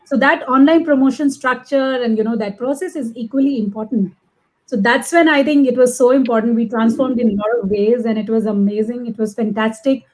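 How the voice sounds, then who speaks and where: A woman's voice: on the high side, brisk, darkish tone, low-quality recording. A woman talking casually as an interview guest, emphatic and effusive, repeating phrases for emphasis. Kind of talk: casual conversational talk.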